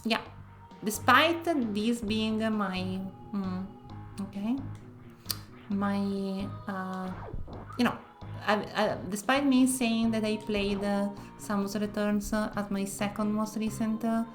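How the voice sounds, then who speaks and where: A woman from northern Italy with an Italian accent, speaking English as a second language, chatting casually while streaming a game and often pausing to search for words. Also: medium pitch, steady tone, faint music behind. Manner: soft-spoken, searching for words with many pauses. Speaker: variety streamer. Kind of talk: casual gameplay commentary.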